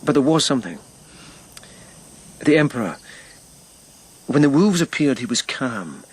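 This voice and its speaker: An actor playing a thoughtful, reserved police lieutenant in ancient Japan, speaking in reflective, halting phrases in a radio drama. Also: male voice, medium pitch, sing-song, slightly rough. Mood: earnest, pondering. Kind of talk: naturalistic radio drama acting.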